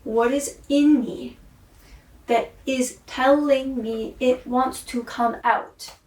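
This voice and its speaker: A woman's voice: high-pitched, fairly even intonation, takes it slowly, a little mellow. A woman recording a rambling, introspective video diary, swearing and sighing often, with a voice she says is tired and her throat sore. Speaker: vlogger and singer. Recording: echoey room, far from mic.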